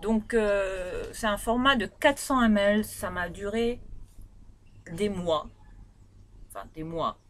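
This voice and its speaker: A woman's voice: medium pitch, slow, pauses often, a bit bright. A woman with a Réunion Creole French accent, chatting casually as she reviews beauty products in her bedroom, rambling with lots of fillers and restarts. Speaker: beauty vlogger.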